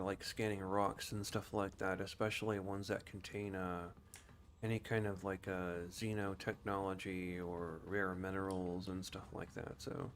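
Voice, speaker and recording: A man's voice: low voice, slight background noise. A man giving casual video game commentary as a streamer, rambling and musing to himself.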